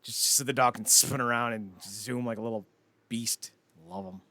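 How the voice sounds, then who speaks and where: A man's voice: mid-range voice, normal speed, bright, forceful tone, dry recording. A man co-hosting a casual pop-culture podcast, rambling and swearing freely as he chats. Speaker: podcast co-host.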